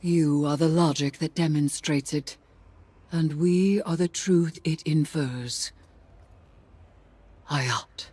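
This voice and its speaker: A woman playing a grave, haunted mystic of dark magic in a video game, husky and breathy, with solemn, deliberate phrasing. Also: low voice, no room sound, exaggerated voice. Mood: solemn, reverent. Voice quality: husky, breathy, often near a whisper. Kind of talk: dramatic video game monologue.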